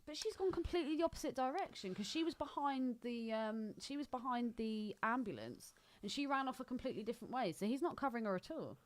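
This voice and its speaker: A woman's voice: a little high, animated, fast, hardly any pauses. A woman co-hosting a podcast, chatting casually about a film in a blunt, sceptical way. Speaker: podcast co-host.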